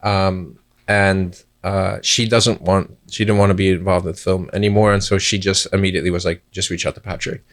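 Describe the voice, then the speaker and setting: A man's voice: low-pitched, flat delivery, moderate pace. A man who worked as a camera assistant on a film, answering interview questions in a rambling way and often correcting himself. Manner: rambling, self-correcting explanations. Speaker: film crew member, first camera assistant.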